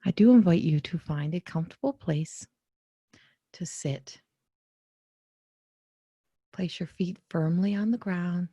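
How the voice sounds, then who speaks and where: Woman, meditation guide, soft and breathy, near whisper, dark relaxed tone, gentle, unhurried, short phrases with pauses. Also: fairly low pitch, dry recording. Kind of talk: guided meditation, soothing spoken instruction.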